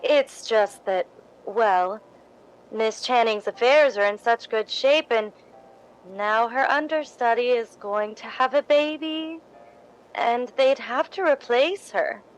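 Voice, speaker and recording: A woman's voice: fairly high pitch, slow, poor audio. A woman playing a meek, grateful, ingratiating young theatre hopeful in 1950s radio drama style, hesitant and earnest. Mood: humble, eager.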